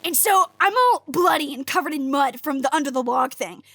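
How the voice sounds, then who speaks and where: A woman's voice: high-pitched, expressive, fairly quick, pushed and bright, a little hiss or hum, heavily acted. A woman playing a brash, chatty, sarcastic agent with a blunt way of talking in an audio drama. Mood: boastful. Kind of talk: naturalistic audio drama dialogue.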